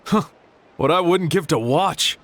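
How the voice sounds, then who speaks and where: A man playing an excitable, imaginative young townsman in a video game, eager and full of speculation. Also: a little high, a little slow, slight background noise. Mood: speculative, excited. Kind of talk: video game character acting.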